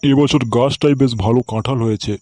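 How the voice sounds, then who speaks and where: A man's voice: mid-range voice, steady tone, fast talker, mellow, slight background noise, lightly acted. A man doing a wary old village man. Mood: scheming. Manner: muttering to himself, scheming. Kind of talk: comic cartoon voice acting.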